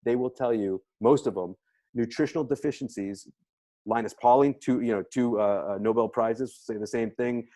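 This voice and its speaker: A man's voice: medium pitch, normal speed, dark tone. A man giving an enthusiastic, emphatic product presentation as a health practitioner, repeating points as he explains. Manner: emphatic, repetitive, salesy explaining. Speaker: health practitioner promoting supplements.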